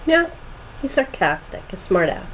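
A woman's voice: medium pitch, very animated, normal speed. A woman hosting a casual, chatty group interview as the playwright and director. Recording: tinny phone-like call with hiss.

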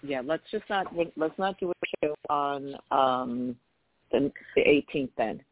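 A woman's voice: rumbling low, slow pace, no room sound. A woman co-hosting a casual radio chat, bantering with exclamations and frequent restarts. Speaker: co-host. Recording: tinny phone-like dry line.

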